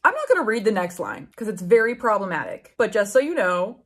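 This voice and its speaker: A woman's voice: medium pitch, sing-song, brisk. A woman in her twenties giving casual, sweary, incredulous reaction commentary as she reads aloud in a room at home. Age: 20s. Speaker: book YouTuber. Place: small room at home with bookshelves.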